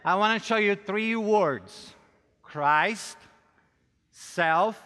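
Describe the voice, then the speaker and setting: Male preacher, middle-aged, Brazilian accent, native Portuguese speaker. Clear voice, unhurried delivery, playful and direct with the audience, reverberant hall. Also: high voice, sharp tone. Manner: joking, self-deprecating, addresses listeners directly. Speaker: pastor and conference speaker. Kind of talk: conference sermon with audience interaction.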